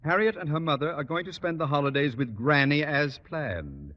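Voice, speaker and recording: A man's voice: on the high side, animated, moderate pace, muffled recording, natural voice. An actor playing a pompous, easily panicked middle-aged father and boss in a theatrical 1940s radio comedy style. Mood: indignant, smug. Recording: noisy old radio recording, hall reverb.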